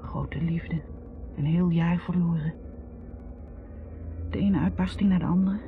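An actress playing a candid, intense young student who analyses herself haltingly, in a confessional 1960s radio drama monologue. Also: female voice, lowish voice, fairly even intonation. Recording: muffled, noisy 1960s radio recording.

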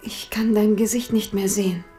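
An actress playing a tender young village sweetheart in a radio play, plaintive and intimate, often slipping into whispers. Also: female voice, medium pitch, fairly even intonation, moderate pace, warm tone, faint music behind, close to an everyday voice. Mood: sad, hushed. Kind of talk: German radio play acting.

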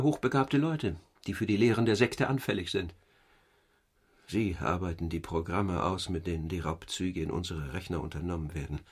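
An actor playing a guarded, reluctant company man in a radio drama, husky-voiced and uneasy in manner. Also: sits low. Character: evasive, reluctant corporate representative under questioning. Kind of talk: German radio drama acting.

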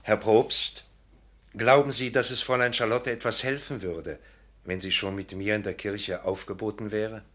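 A man playing an earnest, resolute gentleman suitor in a radio drama, speaking formally and courteously. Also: lowish voice, fairly even intonation, moderate pace, natural voice. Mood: earnest resolve. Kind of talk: literary radio drama acting.

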